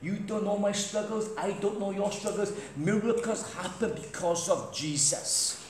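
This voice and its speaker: Middle-aged British male preacher, loud and storytelling, high voice, faint background noise. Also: unhurried. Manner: loud crusade-style preaching, walks about. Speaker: guest preacher. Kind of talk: sermon with anecdotes. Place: large church hall. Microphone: far camera, no mic. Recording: echoey church hall, faint noise.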